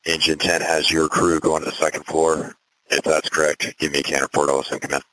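A man with an extremely deep, monotone voice runs a fire scene over two-way radio. He gives composed, clipped directives in procedural language, with very little room sound. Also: brisk, no room sound, poor audio. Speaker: fire battalion chief acting as incident commander. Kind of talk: procedural emergency radio dispatch traffic.

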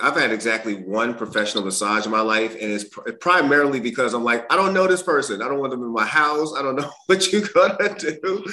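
Laid-back man hosting, bright tone. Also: mid-range voice. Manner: laid-back, slangy, laughs easily. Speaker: podcast host. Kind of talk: casual interview chat.

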